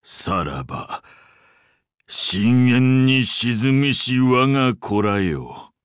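A man voicing an ancient, imperious sea-god father in a Japanese game, speaking in a pompous, archaic and deliberate way with grand indulgence. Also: medium pitch, very animated, clean tone, no room sound, studio quality. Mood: solemn, wistful. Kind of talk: Japanese game character voice acting.

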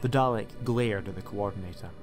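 A man's voice: lowish voice, brisk. A man reading scripted story narration as an audiobook narrator. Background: music.

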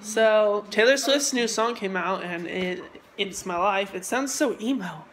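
A man's voice: high voice, a little slow, a bit bright, a little hiss or hum, rough recording. A young man chatting casually into his phone camera for a vlog, mumbling and trailing off, tired. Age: young adult. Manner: mumbly, trailing off, lots of ums. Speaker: vlogger. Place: car, mall, home. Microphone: phone at arm's length.

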